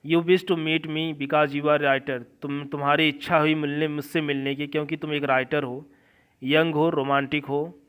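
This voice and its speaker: A man with an Indian accent teaching English literature, reading slowly phrase by phrase and explaining it in a repetitive way. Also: fairly high pitch, little pitch movement, a bit bright, clear, resonant tone.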